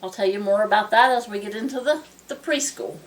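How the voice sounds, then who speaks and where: A woman in her sixties from Ohio, once a teacher, speaks in a friendly, measured way. Her voice sits at a middle pitch. The mic is set at a distance. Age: 60s. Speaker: former teacher.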